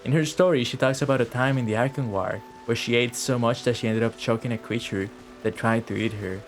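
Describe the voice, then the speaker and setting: A man giving casual, halting, reflective livestream commentary on a video game in an informal way. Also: mid-range voice. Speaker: game streamer. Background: faint game music.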